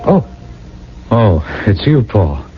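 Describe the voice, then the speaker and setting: A man's voice: on the low side, very animated, very slow, faint music behind, exaggerated voice. A man playing a meek, nervous citizen of the future, stammering and flustered, in a radio drama. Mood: troubled, pleading. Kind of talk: 1980 radio drama acting. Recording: dull, lo-fi old radio recording.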